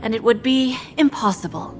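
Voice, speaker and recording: An actress playing a calm, calculating female pawnbroker in a video game, speaking softly in a measured, polite and quietly menacing way. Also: on the high side, natural voice. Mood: coolly ominous. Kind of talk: scripted video game dialogue. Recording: game audio with music behind.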